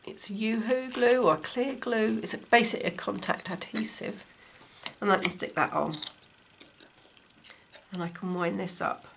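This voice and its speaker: A woman with a croaky voice from a cold, chatting casually as she demonstrates stitching at home. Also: mid-range voice, steady tone, a little slow, fairly bright tone. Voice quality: slightly gravelly. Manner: chatty, talks while stitching. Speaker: textile crafts teacher. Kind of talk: casual craft tutorial. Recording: faint appliance hum behind. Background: dishwasher running.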